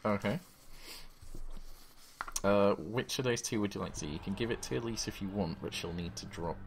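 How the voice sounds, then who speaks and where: A man with a very low, deep voice runs a horror role-play game. He describes each bite and gunshot blow by blow and throws in sound effects, speaking over a desk mic on a call with a faint background hiss. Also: moderate pace, gravelly. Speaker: game master. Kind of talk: narrating a tabletop horror game.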